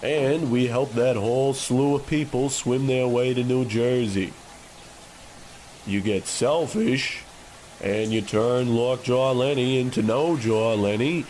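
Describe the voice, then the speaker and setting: A man playing a menacing, matter-of-fact mobster who delivers deadpan threats in a comic radio play. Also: medium pitch, slow pace, a little hiss or hum, natural voice, poor audio. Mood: coolly threatening. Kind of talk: old-time radio play parody.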